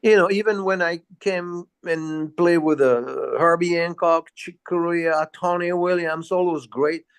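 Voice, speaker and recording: A man's voice: on the high side, fairly even intonation, unhurried, few pauses, clear, resonant tone. Older Frenchman reminiscing casually. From south of France. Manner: rambling, repetitive, peppered with "you know". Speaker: interview guest, jazz bassist.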